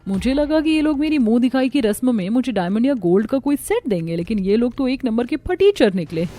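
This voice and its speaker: A woman's voice: on the high side, big pitch swings, a little fast, mellow. A woman voicing a haughty, spoiled young rich bride in a cartoon story, complaining with disdain and dropping in English words. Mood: annoyed. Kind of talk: Hindi cartoon story voice acting.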